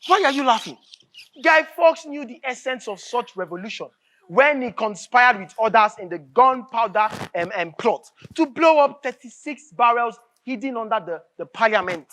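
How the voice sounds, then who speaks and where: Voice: medium pitch, expressive, normal speed, a bit bright, close to an everyday voice. A stage actor playing a fervent, impatient revolutionary with a Nigerian accent, speaking in emphatic, oratorical dialogue and repeating phrases for force. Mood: indignant, fervent. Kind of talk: live stage drama acting, declamatory dialogue.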